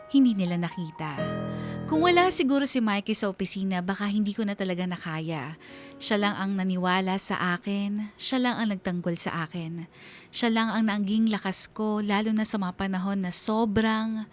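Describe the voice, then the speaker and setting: A heartbroken letter writer voiced by a woman narrates in the first person. Her medium-pitched voice is reflective and emotional, phrased deliberately over soft background music. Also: close to an everyday voice. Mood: tender, sad.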